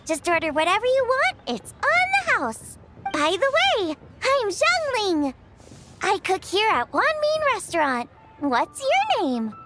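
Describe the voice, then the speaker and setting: A woman's voice: very high voice, wildly swooping pitch, measured pace, over loud music, heavily acted. A woman voicing a bubbly young female restaurant cook in a video game, chatty, friendly and casual. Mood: welcoming. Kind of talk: video game character acting.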